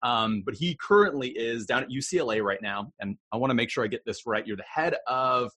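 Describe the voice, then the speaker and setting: A male podcast host with a husky voice who asks friendly, rambling questions in a casual interview. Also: medium pitch, brisk, pushed and bright.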